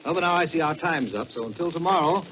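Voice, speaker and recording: An American man narrating in a dramatic 1940s radio style, with measured, theatrical delivery and drawn-out words. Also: on the high side, animated, slight background noise. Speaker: storyteller and radio host. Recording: dull vintage 1940s radio, music behind.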